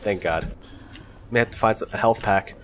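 A man's voice: on the low side, normal speed, slight background noise. A young man with a stuffy, congested voice, chatting casually and swearing now and then as he plays. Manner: chatty, laughing, swearing at close calls. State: congested from a cold. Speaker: gaming channel host. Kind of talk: casual gameplay commentary. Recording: music playing clearly underneath. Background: game music and sounds.

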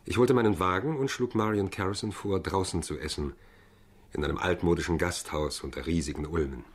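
An actor playing a calm, observant male lawyer who narrates and talks in a German radio drama, with a husky voice. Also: sits low, fairly quick, a little hiss or hum, natural voice. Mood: measured, observant. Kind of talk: scripted first-person radio drama narration and dialogue.

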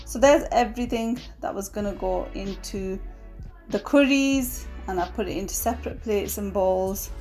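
A woman giving a relaxed, chatty voice-over of her home cooking, with fillers now and then. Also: mid-range voice, moderate pace, soft background music. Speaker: cooking vlogger. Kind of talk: casual voice-over narration.

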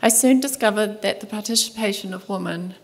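A middle-aged woman with a New Zealand accent reading a conference paper in a soft, measured voice, from a table mic in a large lecture hall. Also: medium pitch. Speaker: conference speaker, museum curator. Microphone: table microphone at panel desk.

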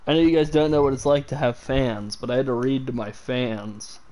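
A young man talking through a game stream on a headset mic, sarcastic and quick to poke fun at himself. His voice sits at an ordinary middle pitch, and he drops casual swears into his commentary. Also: fairly even intonation, mellow.

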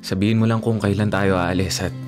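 A man's voice: sits low, steady tone, a little fast, music in the background, close to an everyday voice. Man acting as devoted young Filipino boyfriend. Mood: tender, devoted. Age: 20s. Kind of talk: Tagalog radio drama acting. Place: small padded radio studio. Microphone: studio microphone on boom arm.

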